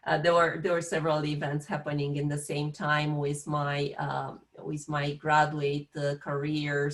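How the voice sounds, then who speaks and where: A woman in her fifties from Romania with a Romanian accent, an engineering professor giving a hesitant talk full of filler sounds over a video call. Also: sits low.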